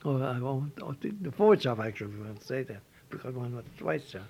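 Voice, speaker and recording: An older man from Switzerland with a Swiss German accent, telling his life story in halting English with repeated words and restarts. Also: mid-range voice, expressive, vocal fry, darkish tone, a little hiss or hum. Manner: halting, repeats words, non-native phrasing. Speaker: interviewee.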